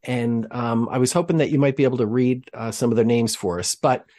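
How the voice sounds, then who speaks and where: A man hosting a trail running podcast, talking casually in rambling, halting sentences full of ums. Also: mid-range voice, warm tone. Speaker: podcast host. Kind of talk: casual chat.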